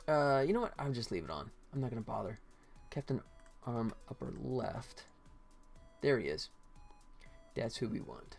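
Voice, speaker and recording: A man's voice: medium pitch, sing-song, normal speed, gravelly, pauses often, faint music behind. A man chatting casually as a livestream host and indie developer, rambling and thinking aloud while he works.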